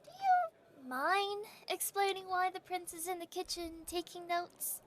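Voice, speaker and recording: Very high-pitched nosy young castle gossip. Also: female voice, lively intonation, unhurried. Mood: curious, probing. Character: nosy, familiar young female castle coworker. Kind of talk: audio drama acting.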